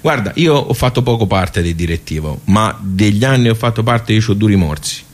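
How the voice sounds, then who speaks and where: A garrulous Tuscan man, a regular at the local social club, in a theatrical radio monologue. His voice sits low, and he rambles in homely colloquial speech, wry and rueful, putting questions and answering them himself. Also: expressive, moderate pace. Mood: rueful, indignant. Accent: Tuscan Italian.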